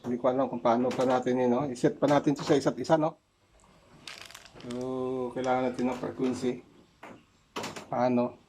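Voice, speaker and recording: A man's voice: medium pitch, flat delivery, moderate pace, pauses often, dark tone, a little hiss or hum. A man with a Filipino accent casually talking through an unboxing in short, unscripted fragments. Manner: unscripted, talks in short fragments while handling items. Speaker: product unboxer.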